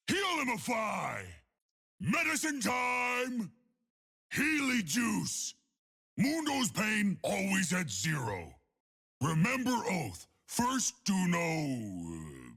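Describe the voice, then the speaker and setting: Gravelly, manic brute of a monster doctor. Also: male voice, a bit bright, full character voice. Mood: manic, booming, then dopey, musing. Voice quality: gravelly, rough. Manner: booming, broken childlike grammar, dopey. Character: huge dim-witted manic monster doctor, cheerfully menacing. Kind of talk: exaggerated video game character voice lines.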